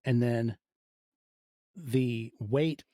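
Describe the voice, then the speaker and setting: A man in his forties with a soft, mellow voice talks as a guest on a podcast. He tells stories in a casual, conversational way, briefly acting out what people said. The sound is very dry, with no room around it. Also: medium pitch, drawn-out words, no echo. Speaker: podcast guest, audio fiction producer. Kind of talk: casual interview storytelling.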